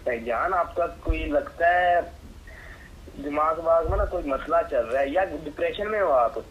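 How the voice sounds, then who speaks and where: A man's voice: a little high, animated, moderate pace. A man with a Pakistani accent working as a medicine supplier, talking on the phone in an exasperated, sarcastic way. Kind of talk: phone conversation. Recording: dull, noisy phone line.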